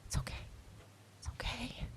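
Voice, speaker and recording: A woman playing an anxious, shaken woman recording an audio diary, halting and breathy, repeating herself and dropping into whispers. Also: natural voice. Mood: frightened, shaken. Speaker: audio drama performer. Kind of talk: intimate confessional audio diary acting.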